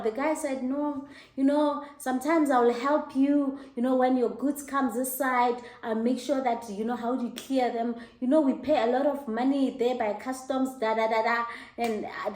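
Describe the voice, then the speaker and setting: A middle-aged South African woman hosting her own show, telling a personal story in an animated, laughing way. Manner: animated, laughing, high-pitched mock exclamations. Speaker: host. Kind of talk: casual storytelling to camera. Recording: echoey room.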